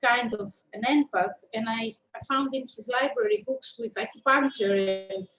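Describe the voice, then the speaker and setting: A woman's voice: on the high side, normal speed, a bit bright, poor audio. A woman in her fifties with a Greek accent, telling her story as an interview guest in a thoughtful, hesitant way. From Greece. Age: 50s. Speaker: interview guest, shiatsu practitioner and teacher.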